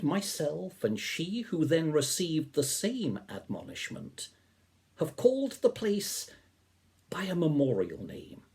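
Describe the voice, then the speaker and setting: A man in his seventies reading poetry aloud in a deliberate, expressive way, with a soft-edged, slightly husky voice. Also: a little high. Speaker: poetry reader, reverend. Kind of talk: measured poetry reading with introduction. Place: small living room or study, indoors.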